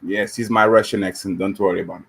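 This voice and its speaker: A man's voice: lowish voice, steady tone, fast talker, vocal fry, husky. A man from Africa joining a casual live chat as a guest, with a dry joking manner. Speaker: guest panelist.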